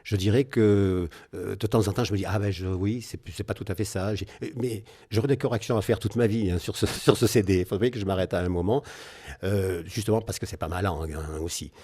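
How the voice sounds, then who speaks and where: Older male guest in his sixties, low husky voice, thoughtful and halting. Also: dark tone. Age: 60s. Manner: reflective, hesitant, with frequent fillers. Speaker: guest, singer and naturopath. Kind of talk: radio interview.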